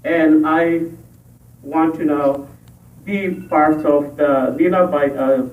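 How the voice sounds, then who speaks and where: A man from Ecuador, a school board candidate, giving a halting forum speech with many pauses and fillers. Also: fairly high pitch, fairly even intonation. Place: library meeting room. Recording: echoey room, tinny and distant.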